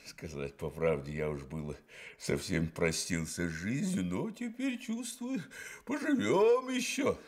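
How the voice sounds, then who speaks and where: An actor playing a big-hearted, anxious talking lion in a radio play, speaking in an emotional, confiding way. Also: male voice, sits low, lively intonation, measured pace, heavily acted. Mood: relieved, joyful. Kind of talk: Soviet radio play acting.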